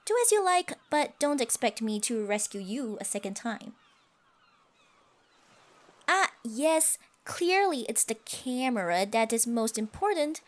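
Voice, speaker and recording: A woman's voice: on the high side, sing-song, a little slow. A woman voicing a proud, scoffing tsundere siren with stiffly formal phrasing in an ASMR roleplay. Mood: huffy, dismissive. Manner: haughty, scoffing, flustered stammers, archaic formal phrasing. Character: haughty tsundere siren, proud, secretly smitten sea creature.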